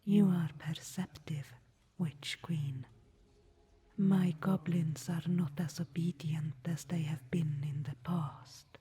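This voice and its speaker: A woman's voice: fairly low pitch, fairly even intonation, slow, mellow, husky, heavily acted. A woman whispering as an aloof underground ruler. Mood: solemn. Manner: formal, archaic whisper.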